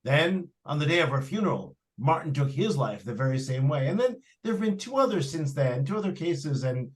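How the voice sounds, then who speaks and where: A man's voice: fairly high pitch, sing-song, fairly quick, low-quality recording. An actor playing a fussy, matter-of-fact male real estate agent in an old-time radio drama, earnest with hesitant starts. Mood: earnest, dismissive. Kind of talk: 1940s live radio drama acting.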